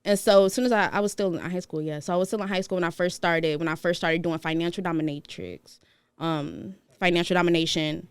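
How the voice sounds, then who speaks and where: Smooth-voiced young Detroit woman, low pitch. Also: brisk, no room sound. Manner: relaxed, laughs between words, many fillers. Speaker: guest, professional dominatrix. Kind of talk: casual interview chat.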